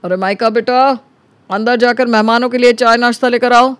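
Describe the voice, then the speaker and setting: A man voicing a stern, grumbling middle-aged father with a fussy, authoritative manner in an animated story. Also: very high voice, moderate pace, smooth, dry recording. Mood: curious, approving. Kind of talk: animated Hindi story character acting.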